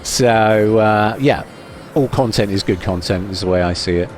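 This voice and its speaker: A man's voice: lowish voice, normal speed, a little hiss or hum. A man giving live, chatty commentary while playing an online naval game, wry and self-deprecating as he thinks aloud. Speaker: gaming video host.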